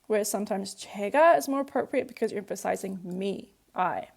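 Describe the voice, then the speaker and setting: A woman's voice: mid-range voice, fast, creaky. A woman whose first language is English, teaching a workbook lesson and patiently working through examples step by step. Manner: patient, walks through examples step by step, repeats key points.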